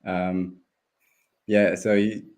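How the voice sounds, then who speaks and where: A young man whose first language is German, with a low, dark, mellow voice. He rambles through a relaxed live chat, restarting sentences and leaning on fillers. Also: drawn-out words. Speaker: guest speedway rider. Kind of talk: casual live interview.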